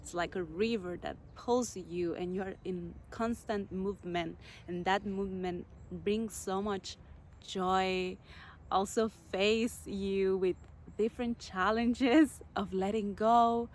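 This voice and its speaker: A woman from Chile hosting a podcast, telling her personal story slowly and reflectively, pausing to search for words. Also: mid-range voice, steady tone. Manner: slow, reflective, searching for words with soft pauses. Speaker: podcast host.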